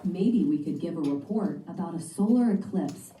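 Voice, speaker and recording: Eager curious storybook schoolgirl, read by a woman, mellow lowish voice, roomy distant sound. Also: expressive, normal speed, close to an everyday voice.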